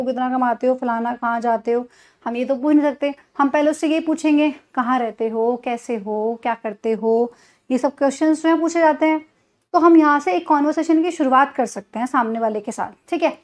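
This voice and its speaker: A woman with an Indian accent teaching spoken English, explaining patiently in Hindi and repeating example sentences slowly. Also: high-pitched, a little mellow.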